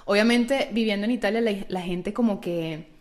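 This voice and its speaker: A woman's voice: mid-range voice, normal speed. Playful, slangy Venezuelan woman podcaster. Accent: Venezuelan Spanish. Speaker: podcast co-host. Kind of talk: casual, gossipy podcast chat.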